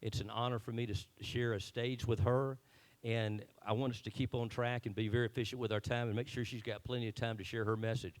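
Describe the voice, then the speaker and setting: A man's voice: medium pitch, flat delivery, quick pace. Man, earnest, slightly awkward, town hall introduction. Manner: earnest, a bit unpolished on stage. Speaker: event organizer introducing the speaker. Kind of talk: speech introducing a guest. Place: meeting hall.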